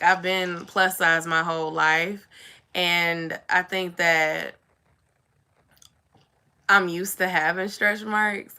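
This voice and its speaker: Raspy, bright-voiced woman chatting. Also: fairly low pitch. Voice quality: somewhat raspy. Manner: chatty, says "y'all" and "like" often. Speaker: vlogger. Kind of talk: casual chat to camera.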